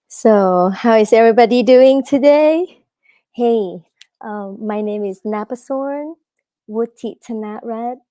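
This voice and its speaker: A woman's voice: fairly high pitch, mellow, no room sound, studio quality. A woman, an author giving a live talk at a book festival, hesitant with many fillers. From Thailand. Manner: hesitant, many fillers, halting non-native English.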